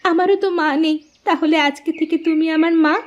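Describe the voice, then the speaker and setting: A woman's voice: extremely high pitch, steady tone, fairly quick, lo-fi sound. A woman playing a wronged, wounded young village wife in a melodramatic cartoon. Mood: pleading.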